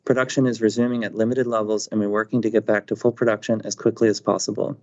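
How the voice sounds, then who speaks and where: A man reading prepared financial results on a company earnings call in a steady, businesslike way. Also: lowish voice, fast. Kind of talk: read prepared financial remarks. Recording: dull lo-fi conference call audio.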